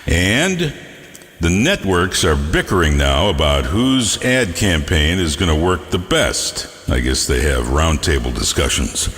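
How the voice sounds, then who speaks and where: Low, animated male radio DJ. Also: unhurried. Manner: playful, jokey, breaks into hammy asides. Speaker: radio DJ, host. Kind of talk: upbeat music radio DJ patter with news bits. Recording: reverberant radio stream with music bed. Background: music.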